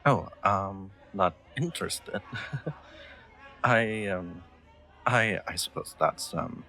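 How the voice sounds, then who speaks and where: A man's voice: low-pitched, extremely slow, sharp tone, heavily acted. A man playing an awkward, sweet young royal knight in a roleplay audio drama, speaking formally with hesitant stammers and nervous laughter. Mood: shy, curious.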